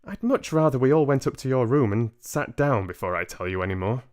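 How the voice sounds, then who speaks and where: Man putting on a high-voiced, careful old grandmother. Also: lively intonation, a little fast, a little mellow, natural voice. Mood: careful, gentle. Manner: delicate, careful, insistent. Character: polite but firm elderly grandmother.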